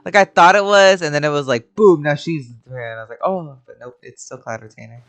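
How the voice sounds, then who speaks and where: A woman's voice: low voice, lively intonation, brisk, no echo, faint music behind. A woman game streamer giving casual, chatty, off-the-cuff reactions as she plays. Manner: informal, chatty.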